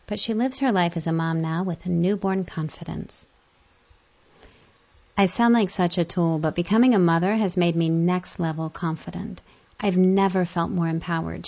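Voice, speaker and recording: A woman with a fairly low voice reads magazine articles aloud. There is a pronounced creak in her delivery, and the recording is somewhat dull and muffled. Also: darkish tone.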